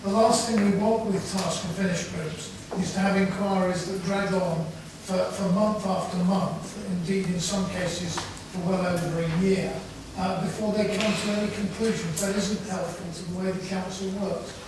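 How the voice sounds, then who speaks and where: A man's voice: high voice, steady tone, normal speed. A man answering as a councillor at a committee meeting, firm but stumbling over repeated words, in a large echoey room. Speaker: councillor presenting a report. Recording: echoey hall from far off, clear noise.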